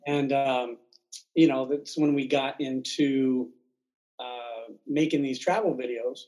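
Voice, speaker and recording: A man's voice: medium pitch, steady tone, slow pace, a little mellow, low-quality recording. A man chatting casually as a podcast guest in a loose, easygoing way. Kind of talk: casual chat.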